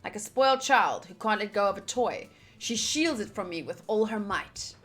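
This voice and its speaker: A woman hosting a visual novel playthrough, reading the narration aloud and adding chatty, expressive asides. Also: mid-range voice, normal speed. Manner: chatty, expressive, reacting fondly to the game. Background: background music.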